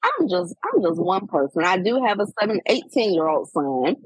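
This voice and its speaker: A woman in her forties, talking candidly in a casual chat, reflective and animated as she tells personal stories. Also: lowish voice, moderate pace, breathy edge, no room sound, poor audio. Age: 40s. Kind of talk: casual heartfelt conversation.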